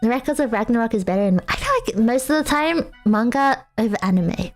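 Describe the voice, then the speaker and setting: A woman's voice: a little high, lively intonation, a little fast, soft background music. A young woman streaming a casual chat with viewers, rambling and self-mocking with lots of fillers and frequent laughter. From Australia.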